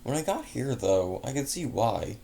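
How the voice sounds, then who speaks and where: A man's voice: medium pitch, sing-song, moderate pace, vocal fry, warm tone, a little hiss or hum. A man reading a first-person fanfic aloud in a casual way, often stumbling, correcting himself and making chatty asides. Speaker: fanfic reader.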